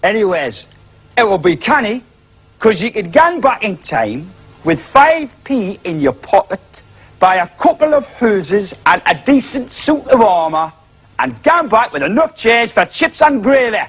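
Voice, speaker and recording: Guy doing a rambly geordie footie fan, high husky voice that bounces all over. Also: measured pace, full character voice. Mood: rambling. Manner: rambling, deadpan, lively pitch swings. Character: chatty Geordie football fan man, rambling.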